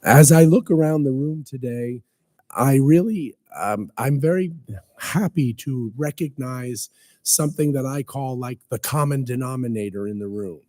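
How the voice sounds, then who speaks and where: A man with a hoarse voice from a cold, giving a formal, earnest speech as guest speaker at a veterans breakfast in a council chamber. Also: medium pitch, unhurried, warm tone. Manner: earnest, ceremonial, with light humor.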